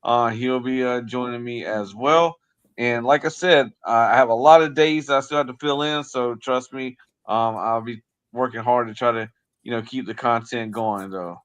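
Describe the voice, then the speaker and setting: A man's voice: medium pitch, normal speed, fairly bright tone, clear, resonant tone. Rambling male sports show co-host. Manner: rambling, hesitant phrasing. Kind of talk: casual, unscripted livestream chat.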